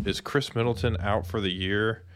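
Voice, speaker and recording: A man's voice: lowish voice, steady tone, normal speed, fairly bright tone, smooth. A man co-hosting a sports podcast in casual, conversational chat.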